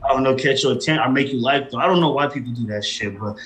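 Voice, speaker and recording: A man's voice: medium pitch, rapid-fire, fairly bright tone. A man in a casual radio interview as the guest artist, talking in loose street slang and often repeating himself. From Arizona. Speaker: independent rap artist, guest.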